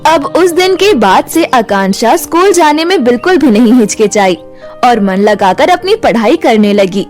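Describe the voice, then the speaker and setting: A woman's voice: fairly high pitch, sing-song, moderate pace, a little mellow, faint music behind. A woman reading a scripted children's moral story as its storyteller, in a studio voice-over.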